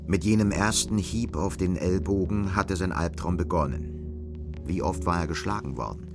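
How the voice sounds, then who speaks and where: A man's voice: low voice, moderate pace, gravelly, music in the background. A man narrating a scripted literary radio drama.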